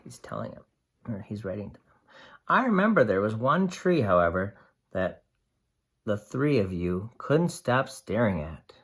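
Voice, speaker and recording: A picture-book reader of ambiguous gender with a mid-pitched voice and very lively intonation. The delivery is slow and deliberate, made for young listeners, with simple explanations set between the lines of the story. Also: slightly rough. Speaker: read-aloud storyteller. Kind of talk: expressive picture-book read-aloud with asides to young children.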